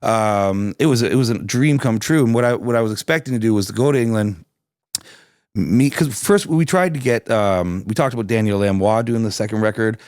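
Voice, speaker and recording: A raspy-voiced man from Canada, chatting loosely as an interview guest with lots of restarts and fillers. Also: on the low side, a little fast, a little mellow, no room sound, studio quality. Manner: rambling, many restarts and fillers. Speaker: singer-songwriter guest. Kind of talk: casual interview chat.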